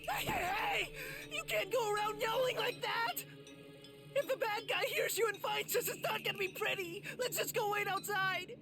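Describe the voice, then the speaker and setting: A man's voice: extremely high pitch, lively intonation, normal speed, loud music behind the voice. An actor playing a panicky, cowardly teenage boy in an anime game, wailing and shrieking frantically. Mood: hysterical panic. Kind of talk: dubbed anime game acting.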